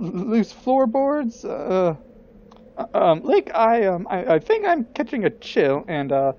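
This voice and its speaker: A man's voice: high voice, muffled recording. A man voicing a cowardly, greedy, shifty sea captain who stammers evasively in a game parody. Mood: greedy, scared. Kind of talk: fan-dub game parody acting.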